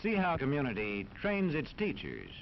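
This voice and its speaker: A man's voice: fairly high pitch, huge pitch swings, normal speed. A man giving formal, didactic scripted narration in the style of a 1940s educational film. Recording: dull old film soundtrack, faint noise.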